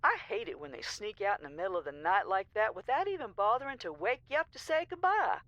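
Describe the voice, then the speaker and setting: Woman playing a brassy, animated small-town operator. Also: medium pitch, fast talker, no room sound, highs cut off, lightly acted. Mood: chatty, rambling. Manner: folksy, mouthy, rambling, exasperated. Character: brassy, sassy small-town answering service operator, chatty and easily annoyed. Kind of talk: audio comedy drama dialogue.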